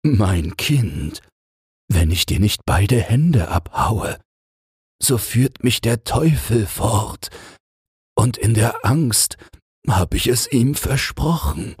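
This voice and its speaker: A man's voice: on the low side, lively intonation, slow pace, a little mellow, slightly rough, dry recording, studio quality, voice pushed up, heavily acted. A man voicing a humble, fearful miller and father in a fairy tale, earnest and pleading.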